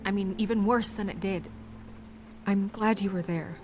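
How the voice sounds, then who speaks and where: Woman playing an earnest office administrator, mid-pitched voice. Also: steady tone, normal speed, over music, natural voice. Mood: tentative, sincere. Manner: earnest, hesitant phrasing. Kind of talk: naturalistic video game acting. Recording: faint score under the voice.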